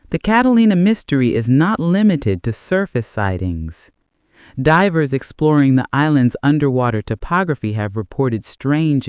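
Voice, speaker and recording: A man narrating a scripted bedtime mystery story in a measured, deliberate way with dramatic pauses. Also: medium pitch, a little mellow, no echo, studio quality.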